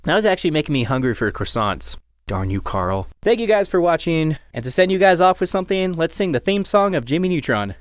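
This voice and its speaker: A man chats casually as a vlog host, with very lively, swinging intonation. The sound is dry and close. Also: fairly high pitch, a little fast, dry recording. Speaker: host, amateur voice impressionist.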